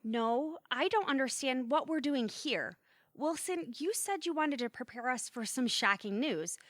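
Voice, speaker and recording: A woman's voice: sits high, animated, a little slow, dry recording, studio quality. A woman playing a reasonable but bewildered adult daughter in an audio drama, earnest and questioning, often pleading. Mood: confused. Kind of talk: audio theatre acting.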